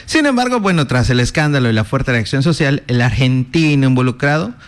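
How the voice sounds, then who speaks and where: Playful Mexican man with a lively voice. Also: medium pitch, brisk. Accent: Mexican Spanish. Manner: playful, laughing, loosely reading news. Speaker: co-host. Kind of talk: casual gossip chat on local news.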